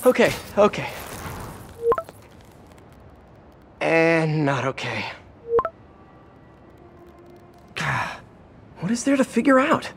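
A man's voice: on the high side, creaky, a bit bright, slight background noise, heavily acted. A man playing a young adult American superhero in a video game, wisecracking and earnest, often talking to himself. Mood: anxious. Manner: quippy, self-talking. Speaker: game protagonist.

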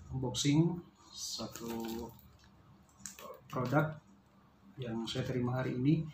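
A man's voice: mid-range voice, a little mellow. A man, explaining casually and hesitantly, as in an unboxing vlog. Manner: hesitant, pausing to search for words. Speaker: vlogger reviewing a product. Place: small bare-walled room indoors. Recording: roomy echo, faint background noise.

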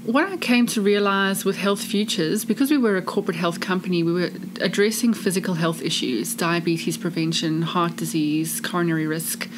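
A woman's voice: fairly low pitch, steady tone, moderate pace, hardly any pauses, noisy background. A woman from South Africa with an English-speaking South African accent, a charity chief executive telling her story earnestly in an interview. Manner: warm, earnest, expansive storytelling. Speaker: charity CEO, guest. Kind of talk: casual interview chat.

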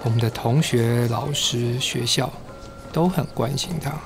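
A man's voice: mid-range voice, measured pace, gravelly. A man acting a soothing, protective male schoolteacher in a radio drama. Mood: earnest, caring. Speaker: voice actor in a fan radio drama. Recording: music behind the voice.

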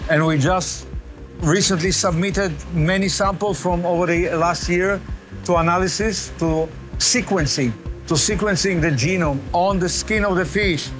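A researcher speaking softly and carefully at an indoor fish facility. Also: male voice, high voice, steady tone, a little slow. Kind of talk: on-site interview. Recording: on location with music under.

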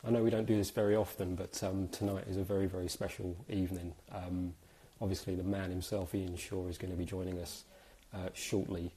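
Hoarse, upbeat man hosting a live stream. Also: sits low, flat delivery, brisk, few pauses, mellow. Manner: chatty, upbeat, many fillers. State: hoarse from a cold. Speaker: host. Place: indoors, small room.

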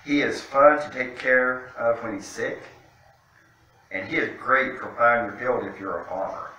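A man's voice: medium pitch, moderate pace, pushed and bright. A middle-aged man reading a picture book aloud to children in an indoor room, picked up by a distant camera microphone. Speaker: guest storytime reader, city official. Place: indoor room, seated by a fireplace mantel. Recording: very echoey room, distant mic, faint noise.

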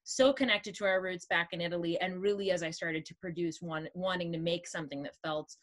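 A woman in her thirties from Toronto, an actress talking in a casual interview over a video call, animated and full of fillers. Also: fairly low pitch, fairly quick. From Toronto, Canada. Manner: animated, many fillers and restarts. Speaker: actress and producer, guest. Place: home room, indoors, green wall.

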